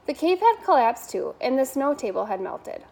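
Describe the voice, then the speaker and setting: A woman's voice: sits high, sing-song, normal speed, darkish tone, a little hiss or hum, low-quality recording. A woman reading a children's bedtime story aloud as a member of school staff.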